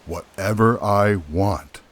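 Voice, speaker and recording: A man's voice: lowish voice, slow, noisy background. A man playing a jolly, stubborn old Santa Claus in a comedy audio drama, with a grand, theatrical manner. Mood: gruff stubbornness.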